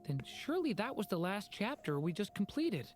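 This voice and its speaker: An actor playing a gentle, earnest young male dragon in human form in a video game, polite and soft-hearted. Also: mid-range voice, very animated, a little fast, close to an everyday voice. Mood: wistful. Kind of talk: video game character acting. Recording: game music behind. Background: game music.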